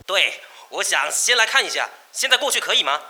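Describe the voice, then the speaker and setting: A man playing a robber who poses as a renter, calling about a room in a brisk, pushy way. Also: high-pitched, big pitch swings, sharp tone, full character voice. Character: male robber posing as a renter.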